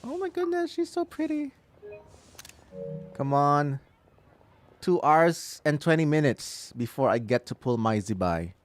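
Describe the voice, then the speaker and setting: A man's voice: fairly high pitch, very animated, a little slow, pauses often. A man giving live playthrough commentary as a gaming streamer, musing aloud and breaking into exclamations.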